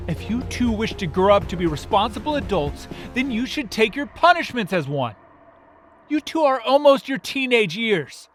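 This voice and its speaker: A man's voice: sits high, fairly bright tone, full character voice. A man playing a strict, irritated training master in an amateur comic dub, speaking in a formal, commanding, scolding way. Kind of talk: amateur dubbed comic voice acting.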